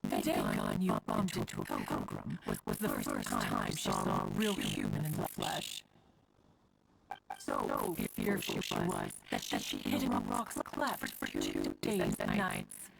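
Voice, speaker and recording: A woman's voice: extremely low pitch, huge pitch swings, measured pace, creaky, pauses often, exaggerated voice. A woman playing an ancient, dignified adeptus mountain guardian in a video game, speaking in formal, archaic phrasing with fond gravity. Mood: fond, chatty. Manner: archaic, formal, stately phrasing, calling self one. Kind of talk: scripted video game dialogue. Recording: distorted, stuttering game audio with music.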